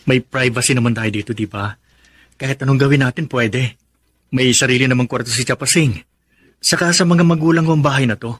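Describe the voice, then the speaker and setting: A man acts a young Filipino husband in a radio drama. His voice is husky and sits at an ordinary pitch, recorded very dry. He coaxes and teases fondly but stands firm on family. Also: brisk, fairly bright tone, no echo, natural voice. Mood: puzzled, reasoning. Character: affectionate young husband, teasing yet firm about family.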